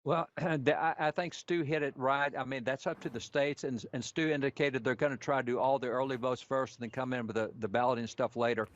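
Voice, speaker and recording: A man's voice: medium pitch, steady tone, a little fast, hardly any pauses. A man working as an election analyst, giving live commentary on incoming figures in an analytical, carefully qualified way. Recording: dry, muffled lo-fi feed.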